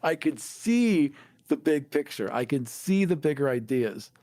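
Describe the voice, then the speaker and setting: A man's voice: fairly high pitch, expressive, unhurried, a little mellow, no room sound, studio quality. A man chatting casually as a podcast host, blunt and opinionated, repeating himself for emphasis.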